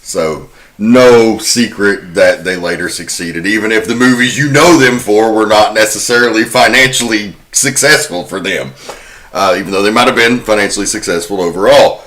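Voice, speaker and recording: A man's voice: on the low side, normal speed, fairly bright tone, rough recording. A man hosting a podcast, giving prepared commentary on film history. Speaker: podcast host.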